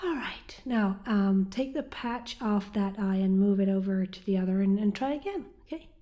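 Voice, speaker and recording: An actress playing a kindly, flustered school nurse in an audio drama, soothing and halting in a folksy way. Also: female voice, mid-range voice, warm tone, lightly acted. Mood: hesitant, reassuring.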